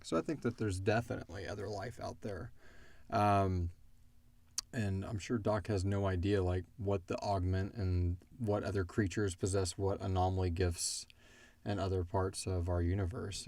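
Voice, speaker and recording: A man's voice: low voice, steady tone, normal speed, creaky, warm tone. A man hosting a casual question-and-answer chat, speaking informally and thinking aloud as he goes. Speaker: podcast host and audio drama creator.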